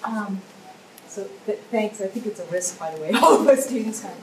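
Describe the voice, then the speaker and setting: A woman in her forties, a workshop participant, talking about herself thoughtfully with frequent ums and pauses. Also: mid-range voice, animated, crowd noise. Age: 40s. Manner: thoughtful, hesitant, many ums. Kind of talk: casual personal sharing. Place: conference workshop room. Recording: echoey room, distant mic, noisy.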